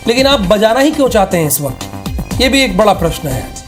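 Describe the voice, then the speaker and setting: A man's voice: sits high, very animated, fairly quick, music in the background. A man anchoring live television news in an explanatory way, posing rhetorical questions.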